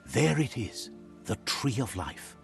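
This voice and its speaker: A man playing the wry, sage narrator of an animal fable game, telling it in a measured, philosophical storybook style. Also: medium pitch, big pitch swings, vocal fry, music in the background, heavily acted. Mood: reflective, sage.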